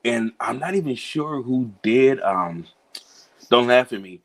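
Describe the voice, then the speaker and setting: A man in his thirties from Cincinnati, a children's book author answering interview questions in a relaxed way, laughing easily and filling pauses with "um" and "you know". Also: medium pitch. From Cincinnati, USA.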